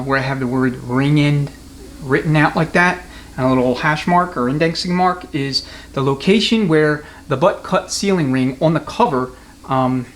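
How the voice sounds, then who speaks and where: A man giving an unscripted, hands-on technical demonstration as a mechanic, explaining things in a matter-of-fact way. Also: on the high side. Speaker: transmission mechanic and instructor. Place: transmission workshop.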